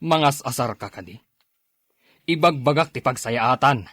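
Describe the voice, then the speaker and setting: Actor as a bossy Ilocano husband, swinging pitch. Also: male voice, expressive, unhurried, fairly bright tone, dry recording, clean studio sound. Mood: grumpy, demanding. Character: bossy, grumbling Ilocano husband and father, short-tempered. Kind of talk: radio drama dialogue.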